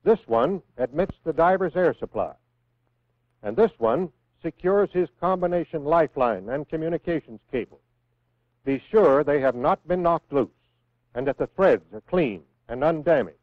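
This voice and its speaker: An American man reading scripted instructions in a measured, clearly enunciated way, as the narrator of a 1960s military training film. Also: on the high side, lively intonation, dry recording. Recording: phone-like vintage 1960s film soundtrack.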